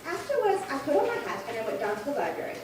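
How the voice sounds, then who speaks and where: A woman's voice: high-pitched, huge pitch swings, rapid-fire. A woman playing a chatty, dithering elderly single lady in an old-time radio drama, rambling politely and fussily. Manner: rambling, fussy, politely fluttery. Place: live theatre performance space. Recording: very echoey hall, distant, faint noise.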